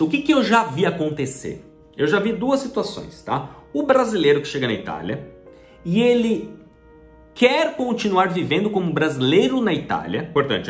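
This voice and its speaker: A man's voice: high voice, echoey room. A Brazilian man hosting his channel, explaining things chattily and often asking rhetorical questions. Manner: chatty, asks rhetorical questions, acts out little dialogues. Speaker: channel host. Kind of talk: explaining to the camera.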